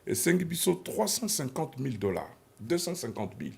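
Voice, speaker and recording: A man's voice: mid-range voice, big pitch swings, moderate pace, gravelly, a little mellow. A man hosting a TV talk show, chiming in often with short agreements and closing the segment. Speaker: TV host.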